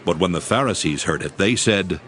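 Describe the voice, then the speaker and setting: A man narrating a dramatized Bible reading with steady, reverent storytelling. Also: low-pitched, a little fast, some chatter behind. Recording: studio narration with music beneath.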